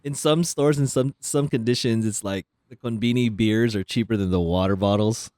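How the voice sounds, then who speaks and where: A man co-hosting a podcast in casual chat, an animated storyteller who acts out quotes loudly and laughs often. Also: lowish voice, moderate pace, no echo, studio quality. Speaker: podcast co-host.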